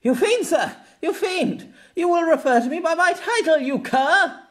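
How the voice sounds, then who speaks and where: A man's voice: very high-pitched, expressive, unhurried, pitched above the performer's own voice. A man voicing a pompous, indignant young nobleman guard captain in a haughty bellow for an audiobook. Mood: indignant, furious.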